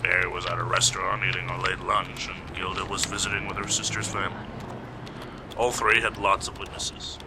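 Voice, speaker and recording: A man playing a gruff, put-upon police investigator in an amateur radio play, with a terse, grumbling delivery. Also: sits low, monotone, brisk, close to an everyday voice. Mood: glum. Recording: noisy amateur home recording.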